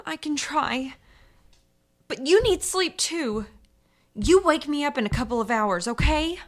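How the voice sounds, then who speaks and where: A woman plays a hunted, exhausted wife in a radio play. Her voice is high and very expressive, and she draws her words out slowly in fear and fatigue. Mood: weary, fearful. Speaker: radio drama actor. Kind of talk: radio drama acting.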